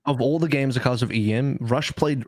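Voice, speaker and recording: Male esports analyst, dry studio sound, mid-pitched, conversational but insistent, repeats himself for emphasis. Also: brisk, no room sound. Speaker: podcast panelist.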